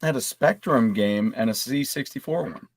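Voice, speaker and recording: A man's voice: mid-range voice, expressive, moderate pace, slightly rough, dry recording, clean studio sound. A man chatting casually as a podcast panelist, easygoing and joking.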